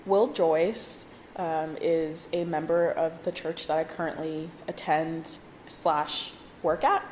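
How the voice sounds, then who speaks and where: Slow-spoken young woman in a roomy space. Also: sits low, steady tone, a little mellow. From born in Zimbabwe, grew up in Texas from age four. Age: 20s. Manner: hesitant, pausing mid-phrase, casual. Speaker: graduate student interviewed in a documentary. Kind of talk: personal interview, reflective talk. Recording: roomy echo, faint background noise.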